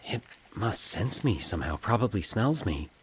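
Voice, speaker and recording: Audio fiction role: male reader playing a jittery man alone, thinking aloud in a lowish, halting mutter. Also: very animated, slight background noise. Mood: uneasy, hushed. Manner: halting, awed muttering to himself. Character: Mike, uneasy grown man talking to himself. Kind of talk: dramatized audio fiction dialogue.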